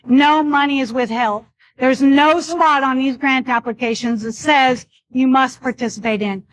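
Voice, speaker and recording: A woman's voice: sits high, a little slow, slight background noise, low-quality recording. A woman speaking at a public meeting, earnestly advising the audience.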